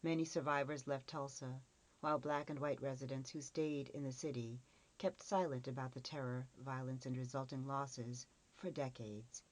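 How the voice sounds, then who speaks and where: A woman's voice: a bass voice, steady tone, normal speed, poor audio. A woman reading a scripted encyclopedia article as a narrator.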